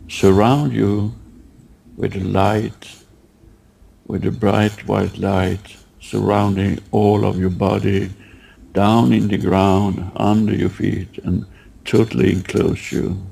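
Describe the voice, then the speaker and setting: A man leading a guided meditation, giving halting, unhurried instructions and asking gentle questions. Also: on the low side, steady tone, vocal fry, slightly rough, rough recording.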